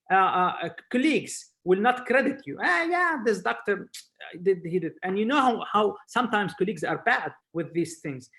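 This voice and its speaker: English-speaking man from Spain, explaining haltingly. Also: sits high, fairly bright tone. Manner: halting non-native English, repeating words. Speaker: surgeon lecturer, webinar speaker. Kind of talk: informal expert talk on a video webinar. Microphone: video call.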